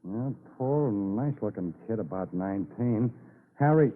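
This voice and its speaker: Brash, animated radio wise guy. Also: fairly low pitch, normal speed. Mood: musing. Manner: slangy, cocky, eager. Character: brash, chatty, nosy male city neighbor. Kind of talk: 1950s radio crime drama acting. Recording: narrow-band old radio broadcast.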